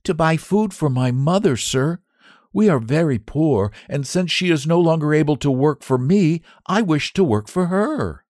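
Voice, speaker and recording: A man's voice: fairly high pitch, lively intonation, a little slow, a little mellow, dry recording, studio quality, pitched above the performer's own voice. A man voicing a small, brave and polite poor shepherd boy in a storybook reading. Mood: pleading. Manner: pleading, polite.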